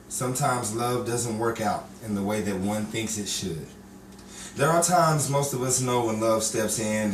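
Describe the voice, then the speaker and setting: A man's voice: mid-range voice, a little hiss or hum. A man announcing a vintage-style radio show in a scripted introduction. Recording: roomy, distant live stage recording, crowd.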